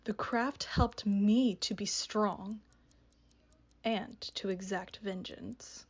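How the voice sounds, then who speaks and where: A woman playing a vengeful ghost of a wronged woman, speaking in hushed, solemn tones in a radio drama. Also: mid-range voice, steady tone, extremely slow. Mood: ominous.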